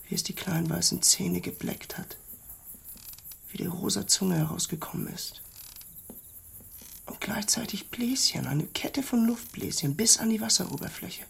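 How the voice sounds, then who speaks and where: An actor playing a haunted, simple country man in a radio drama, halting and hushed, with a gravelly, husky voice. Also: high voice, heavily acted.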